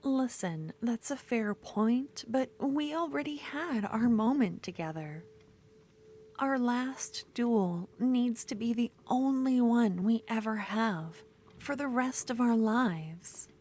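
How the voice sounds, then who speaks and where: Woman acting a slow, obsessive yandere rival. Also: on the high side, lively intonation. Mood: infatuated, wistful. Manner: slow, intimate, drifting between soft speech and breathy whispers. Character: obsessive yandere young woman duelist, possessive, smitten, menacing. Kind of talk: ASMR fantasy roleplay.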